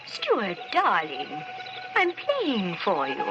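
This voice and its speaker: A woman's voice: mid-range voice, wildly swooping pitch, drawn-out words, dull audio, loud music behind the voice, heavily acted. A woman playing a genteel young Edwardian wife in a melodramatic old radio play. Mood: coy, sweet. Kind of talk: 1950s radio drama acting. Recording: noisy old radio transcription.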